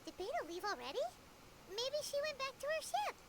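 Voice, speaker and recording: Extremely squeaky little fairy companion. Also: female voice, sing-song, fairly quick, noisy background, heavily acted. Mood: curious, puzzled. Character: tiny, squeaky, childlike floating fairy companion, curious. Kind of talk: video game character dialogue.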